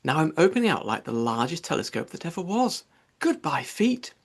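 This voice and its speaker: Man voicing an anxious little girl, higher-pitched. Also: very animated, brisk, husky, heavily acted. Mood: bewildered, chattering. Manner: muses aloud to self, fretful. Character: anxious, chattering young girl, easily upset. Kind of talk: storybook character voice.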